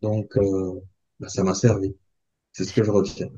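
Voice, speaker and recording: A man in his forties, from the Congo, talks in a calm, thoughtful way and often pauses to hesitate. His voice is low and dark. The podcast sound is a little muffled. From Republic of the Congo. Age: 40s. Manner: measured, thoughtful, with frequent hesitations. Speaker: guest. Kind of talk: reflective interview talk.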